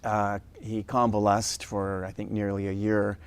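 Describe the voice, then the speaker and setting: Man, professor, lowish voice, reflective, hesitant, studio interview. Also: monotone, smooth. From Japan. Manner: thoughtful, measured, frequent hesitations. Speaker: guest, university professor. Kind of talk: interview conversation. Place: television studio.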